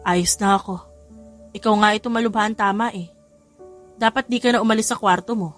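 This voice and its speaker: A woman's voice: medium pitch, fairly even intonation, a little fast, soft background music, natural voice. A woman playing a devoted, playful young husband in an audio drama. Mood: relieved, caring.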